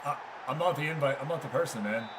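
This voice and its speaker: A man's voice: medium pitch, quick pace, background noise. A man hosting a livestream, giving casual game commentary and chatting informally with viewers. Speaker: livestream host.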